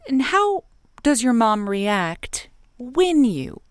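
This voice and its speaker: An actress playing a radio advice host who asks probing, halting questions. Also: female voice, fairly high pitch, sing-song, clear, resonant tone, no room sound, full character voice. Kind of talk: improvised comedy call-in radio acting.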